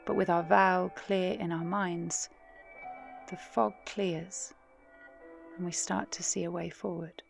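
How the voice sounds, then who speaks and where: Slow-paced, earnest activist mother. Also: on the low side, fairly even intonation, creaky, exaggerated voice. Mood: quiet, hopeful. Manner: measured, idealistic, poetic. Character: earnest, hopeful present-day activist mother. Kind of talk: reflective first-person monologue in audio drama. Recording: music underneath.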